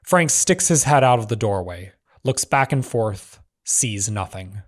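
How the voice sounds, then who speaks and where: A man narrating screenplay stage directions in measured, clipped phrases. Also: on the low side, lively intonation.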